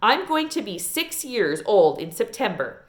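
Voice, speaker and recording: A woman's voice: medium pitch, very animated, measured pace. A woman voicing an earnest, chatty five-year-old girl with childlike, folksy speech in a storybook reading. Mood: earnest, curious.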